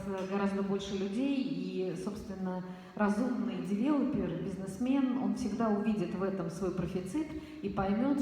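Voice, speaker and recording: A woman with a mellow voice interprets and explains, hesitating often and filling her pauses. She is heard in a large hall with a long, roomy echo and faint hiss. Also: medium pitch. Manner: hesitant, many fillers. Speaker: interpreter and organizer. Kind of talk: consecutive interpreting and commentary.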